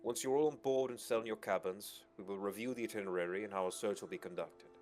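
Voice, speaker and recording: A man's voice: fairly low pitch, fast, a little mellow, pitched above the performer's own voice, lightly acted. A man voicing a confident, formal expedition financier who addresses people with authority in a visual novel reading. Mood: formal, assured.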